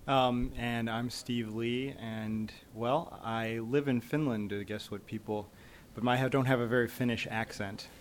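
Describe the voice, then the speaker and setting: A man from the United States, a company CEO, giving measured, explanatory interview answers. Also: mid-range voice, fairly even intonation, slight background noise.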